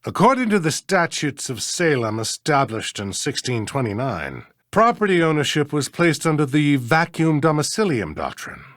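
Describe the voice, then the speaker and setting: An actor playing a stuffy, easily flustered middle-aged judge with formal, precise diction in a video game. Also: male voice, mid-range voice, fairly bright tone, no echo, clean studio sound, lightly acted. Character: stuffy, flustered, defensive small-town male judge.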